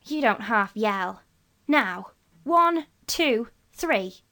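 A woman acts a bold young schoolboy in a storybook. The voice is high and very slow, with bossy, emphatic delivery as if calling out. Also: animated, bright, forceful tone, heavily acted. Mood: bossy, excited.

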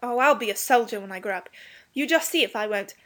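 A bold, bloodthirsty young boy, voiced by a woman, pitched fairly high. He is stubborn and brash, a commanding little storybook soldier. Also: expressive, fast talker, fairly bright tone. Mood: defiant. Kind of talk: children's storybook character voice.

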